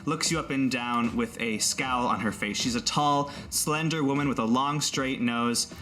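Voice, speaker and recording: Bright-voiced man running a tabletop game. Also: medium pitch, moderate pace. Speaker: game master and host. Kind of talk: tabletop game narration, scripted narration and casual asides.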